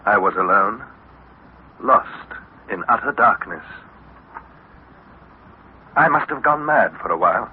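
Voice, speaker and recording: Earnest young male explorer, measured theatrical storytelling, slow pace, muffled old radio serial sound, background noise. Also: fairly low pitch, animated. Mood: haunted, tense. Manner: deliberate, theatrical, measured delivery. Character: Harry, earnest young explorer narrating his underground ordeal. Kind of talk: dramatic narration in 1960s radio serial. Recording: muffled, noisy vintage radio recording.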